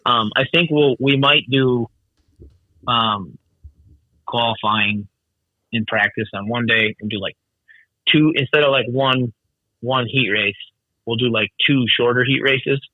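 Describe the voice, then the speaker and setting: A man's voice: mid-range voice, a little slow, long pauses, no room sound, narrow, tinny audio. A man who organizes races, chatting casually on a call with many restarts and fillers. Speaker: snowmobile race organizer. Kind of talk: casual phone conversation.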